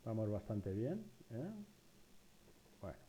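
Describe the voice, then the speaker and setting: A man's voice: on the low side, sing-song, slow pace, warm tone, a little hiss or hum. A man from Spain with a Spain Spanish accent gives casual, informal running commentary as a pilot flying, muttering to viewers as he works. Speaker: flight simulator pilot narrating.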